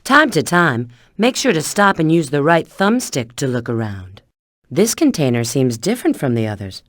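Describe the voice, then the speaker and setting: A woman's voice: low-pitched, sing-song, normal speed, dry recording, studio quality. A woman voicing a confident, flirty female bat spy and treasure hunter in a video game, with a cool, knowing, instructive manner. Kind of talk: video game character voice acting.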